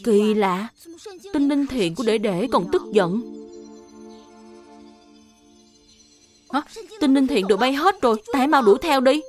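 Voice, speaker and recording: Woman doing a little big brother, high-pitched, earnest, full of questions, children's cartoon. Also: animated, brisk, a little mellow, faint music behind, natural voice. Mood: puzzled. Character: earnest, questioning young boy, older brother.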